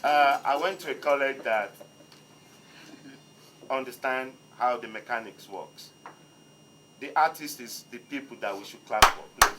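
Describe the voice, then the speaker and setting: A man's voice: mid-range voice, measured pace, long pauses, loud music behind the voice, poor audio. Hesitant man. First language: not English. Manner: hesitant, apologetic, with many ums. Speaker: exhibition curator. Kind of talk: public speech at an opening. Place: museum hall.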